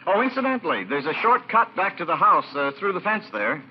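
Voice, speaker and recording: An actor playing a cold-blooded, scheming lover with a clipped, commanding manner in a vintage radio thriller. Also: male voice, high-pitched, big pitch swings, brisk. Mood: falsely genial. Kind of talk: 1940s radio acting. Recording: muffled vintage radio broadcast.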